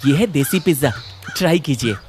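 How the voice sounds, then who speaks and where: A man's voice: on the high side, animated, a little fast, a little mellow, natural voice. A man playing an ambitious young city-educated son in a cartoon story, earnest and insistent. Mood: eager. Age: young adult. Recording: music under the voice.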